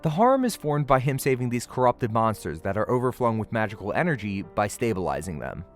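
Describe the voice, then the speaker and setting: A man hosts a casual, scripted review with music playing behind him. His mid-pitched voice moves up and down in lively fashion. The delivery is conversational and emphatic, with a playful edge. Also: quick pace. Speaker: host, anime reviewer. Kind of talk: scripted video essay narration, casual first-impressions review.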